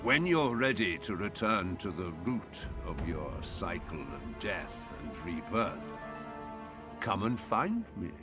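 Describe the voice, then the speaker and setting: A mysterious, mocking figure from a video game. He draws his words out very slowly in a theatrical, teasing way, with big swings of pitch. Music plays clearly behind him. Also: sing-song, heavily acted. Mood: teasing, dismissive. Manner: mocking, drawling, theatrical, chuckling. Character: taunting, mysterious man mocking a young girl. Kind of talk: video game cutscene acting.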